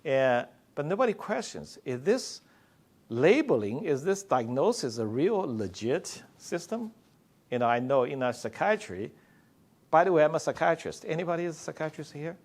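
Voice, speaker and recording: A middle-aged male psychiatrist giving a conference talk, speaking loudly and slowly and joking with the audience. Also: on the high side, big pitch swings. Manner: loud, slow-paced, joking with the audience.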